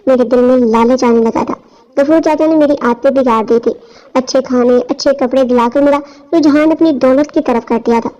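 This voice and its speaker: High-pitched woman narrating, muffled recording. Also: fairly quick, mellow. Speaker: story narrator. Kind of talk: scripted first-person narration. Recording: dull, lo-fi audio.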